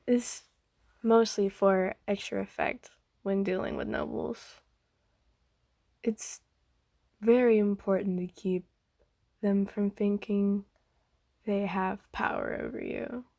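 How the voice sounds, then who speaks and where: A doting aristocratic vampire mistress, played by a woman for an ASMR roleplay. She speaks softly, often in a half whisper, at a low-key, unhurried pace with very little rise and fall. Her tone is dark and velvety, gently formal and coaxing, recorded close and dry. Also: vocal fry, no echo. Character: kind, doting aristocratic female vampire owner.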